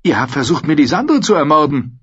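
High, desperate, haughty old nobleman. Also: very animated, brisk, breathy edge, muffled recording. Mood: wounded, pompous. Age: older. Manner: pompous, pleading, aristocratic. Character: desperate, haughty elderly nobleman prisoner, shrieking in outrage. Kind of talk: audiobook character acting in a fantasy novel.

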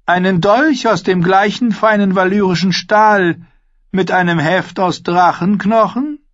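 A man's voice: high voice, a little slow, fairly bright tone, no room sound, dull audio, pitched above the performer's own voice. A man voicing a sardonic, bitter dwarf nobleman in a fantasy audiobook, wry and grumbling. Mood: guarded, wry. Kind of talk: fantasy audiobook character acting.

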